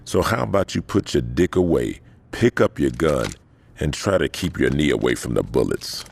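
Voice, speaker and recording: An actor playing a hardened male gang boss in a video game, calm, dry and menacing, never in a hurry. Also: rumbling low, gravelly, a little hiss or hum, exaggerated voice. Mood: cool. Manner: slow, menacing, dry and unbothered. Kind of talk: video game cutscene acting.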